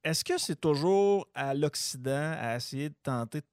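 A man's voice: fairly high pitch, animated, normal speed, no echo. Hesitant Québécois host in his forties. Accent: Québécois French. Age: 40s. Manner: questioning, conversational, hesitant. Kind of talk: casual interview.

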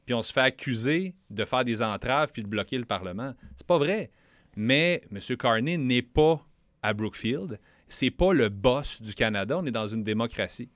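A man's voice: medium pitch, lively intonation, normal speed, no room sound, clean studio sound. A young man with a Quebec French accent, a member of parliament talking earnestly in a radio interview, often restarting his words.

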